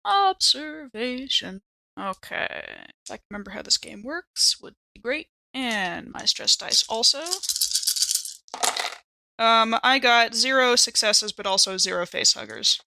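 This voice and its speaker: A woman's voice: on the high side, expressive, unhurried, bright, forceful tone, slight background noise. A woman playing a tabletop RPG, chatting casually and improvising her character's moves.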